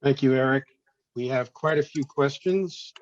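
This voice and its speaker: A man's voice: medium pitch, normal speed. A man moderating a formal forum, giving brief procedural directions to speakers. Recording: dull lo-fi connection.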